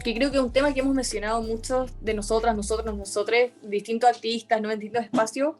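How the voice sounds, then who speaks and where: A woman's voice: on the high side, fairly even intonation, quick pace, darkish tone, faint music behind. A young woman from Chile with a Chilean accent, speaking earnestly as a youth activist guest, often restarting her phrases. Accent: Chilean Spanish. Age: young adult. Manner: earnest, many restarts and self-corrections. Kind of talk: conversational interview.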